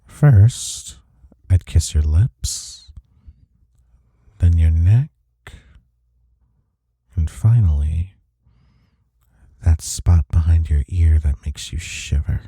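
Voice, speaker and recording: A man acting a deep, husky, smitten office rival. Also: extremely slow, pauses often, mellow, no echo, studio quality, heavily acted. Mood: sultry, tender. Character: flustered, smitten male office rival confessing attraction. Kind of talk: intimate romantic audio roleplay acting.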